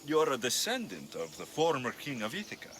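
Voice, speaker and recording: A man's voice: medium pitch, huge pitch swings, fairly quick, fairly bright tone. A man voicing a guarded, wry young Greek mercenary in a cinematic video game, terse and probing. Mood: curious, wry. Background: background music.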